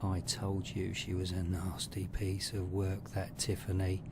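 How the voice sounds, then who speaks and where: A man playing a grim storyteller in a horror audio drama, commenting darkly in an ominous, measured way. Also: low voice, monotone, very noisy.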